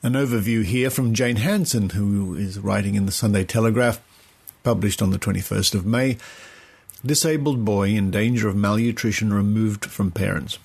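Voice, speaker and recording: An Australian man hosting a podcast, reading news and commentary in measured, deliberate phrases. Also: lowish voice, gravelly, a little mellow, husky. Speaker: podcast host. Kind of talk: scripted news commentary, reading reports aloud.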